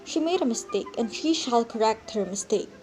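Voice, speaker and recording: A distinctly high, formal voice for a polite society mother, played by a young woman. She is stubbornly apologetic in an amateur play, with light music underneath. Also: expressive, moderate pace, darkish tone, close to an everyday voice. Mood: politely insistent. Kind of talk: amateur stage-play acting.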